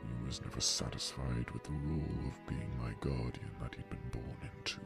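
A man playing a weary, resigned old male dragon who speaks in a heavy, halting, gravelly and breathy voice in an audio drama. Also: very deep voice, fairly even intonation, music in the background, exaggerated voice. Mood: bitter, weary.

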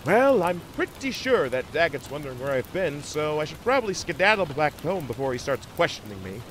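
Man voicing a lovestruck cartoon beaver, swooping expressive pitch. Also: brisk, a little hiss or hum. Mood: affectionate. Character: lovestruck, insecure young male cartoon beaver. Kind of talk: cartoon fan fiction audio reading.

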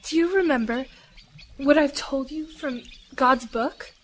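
A woman's voice: sits high, unhurried. A woman playing a devout, humble lady missionary in an audio drama, earnest and formal in her phrasing. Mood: gently encouraging.

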